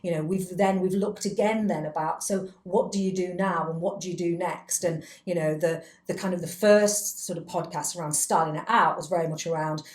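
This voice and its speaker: A woman's voice: lowish voice, fairly even intonation, moderate pace, hardly any pauses. A woman hosting a conversational podcast, talking in a reflective, rambling and self-deprecating way. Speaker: podcast host, chief executive. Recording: roomy, echoing indoor sound.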